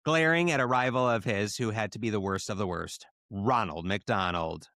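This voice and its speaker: A man's voice: lowish voice, moderate pace, bright, forceful tone, dry recording, clean studio sound. A man co-hosting a podcast, reading comedic fan fiction aloud with theatrical delivery.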